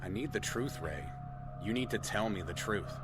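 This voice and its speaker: A man's voice: on the low side, lively intonation, moderate pace, over music, lightly acted. A man acting in an audio drama as an accusing, insistent figure from a dream, probing and confrontational. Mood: demanding.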